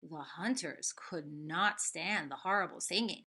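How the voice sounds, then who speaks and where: A woman elementary school teacher reading a story aloud and talking to children, expressive and full of questions. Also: lowish voice, unhurried, slightly rough. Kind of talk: children's read-aloud and lesson.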